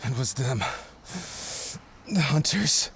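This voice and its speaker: A man's voice: medium pitch, expressive, slow, a bit bright, a little hiss or hum. A man playing a tough, blunt, loyal teenage boy brawler in an anime-style audio drama. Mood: wry, serious.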